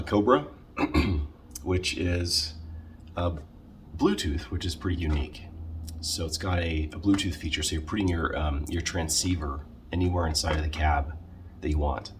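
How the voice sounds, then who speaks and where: Deep-voiced man in a noisy casual vlog. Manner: halting, conversational, self-correcting. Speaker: video creator showing a car radio install. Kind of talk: casual how-to vlog talk.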